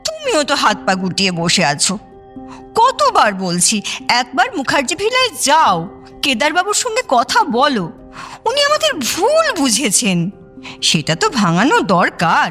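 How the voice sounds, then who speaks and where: Very animated, anxious wife. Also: female voice, sits high, unhurried, faint music behind, exaggerated voice. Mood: nagging, urgent. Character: anxious, nagging middle-aged wife and mother. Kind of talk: Bengali radio drama acting.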